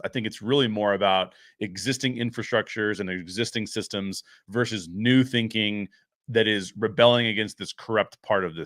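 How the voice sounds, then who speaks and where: A man's voice: mid-range voice, fairly bright tone, dry recording. Man giving conversational film commentary, dry close sound. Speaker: host of a pop-culture commentary show.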